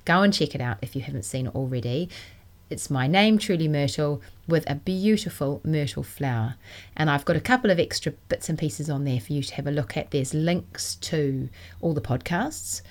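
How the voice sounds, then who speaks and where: A woman from New hosting a knitting podcast, chatting casually and enthusiastically, her voice still a little croaky from a cold. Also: low-pitched, normal speed. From New Zealand. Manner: chatty and enthusiastic, self-deprecating. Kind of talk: casual solo podcast chat.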